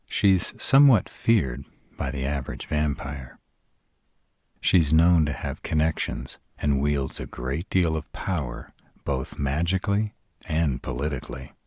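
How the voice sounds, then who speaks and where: Man acting a very deep, husky arrogant vampire. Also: animated, measured pace, a little mellow, dry recording, clean studio sound, full character voice. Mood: pensive. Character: arrogant male vampires plotting, grave and cocky. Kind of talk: scripted audio roleplay acting.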